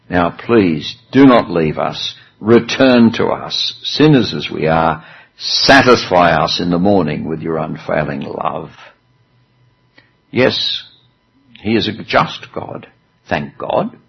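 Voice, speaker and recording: A man with a low, gravelly and husky voice preaching a chatty sermon, with dry self-deprecating humor. The sound is dull and lo-fi, as if recorded in a church hall. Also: expressive, slow pace.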